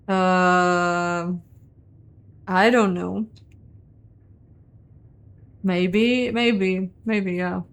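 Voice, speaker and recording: A woman's voice: lowish voice, steady tone, drawn-out words, pauses often, clear, resonant tone. A woman giving casual playthrough commentary on a game, musing aloud and questioning herself. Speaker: game streamer and narrator.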